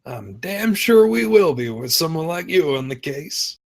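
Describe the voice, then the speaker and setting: A man's voice: on the high side, big pitch swings, unhurried, creaky, heavily acted. An actor playing a giddy, affectionate, playfully flirtatious father in a film script table read. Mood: playful, teasing. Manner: playful, teasing.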